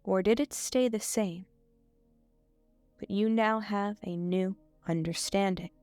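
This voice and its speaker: Female meditation guide: smooth, clear voice, unhurried and soothing, soft music behind. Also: mid-range voice, fairly even intonation, no echo. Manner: soothing, measured delivery with frequent pauses. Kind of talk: scripted guided meditation, hypnotic suggestion.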